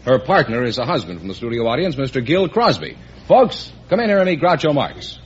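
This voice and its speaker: Brisk old-time radio announcer. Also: male voice, mid-range voice, very animated, a little hiss or hum. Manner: brisk, formal introductions. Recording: old lo-fi radio broadcast with loud studio audience.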